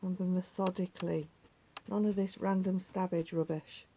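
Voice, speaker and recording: A woman with a slightly croaky voice, patiently talking viewers through a craft step by step and often dropping to a near whisper. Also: fairly low pitch, fairly even intonation, normal speed, warm tone, a little hiss or hum, poor audio. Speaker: needle felting teacher. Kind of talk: hands-on craft tutorial.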